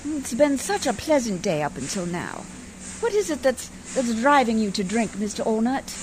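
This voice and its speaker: A woman's voice: on the high side, very animated, normal speed, darkish tone, slight background noise, poor audio. A woman playing a prim, devout and strong-willed lady adventurer in an old-time radio drama, speaking in proper, effusive phrases. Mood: concerned. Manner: proper, genteel, effusive diction.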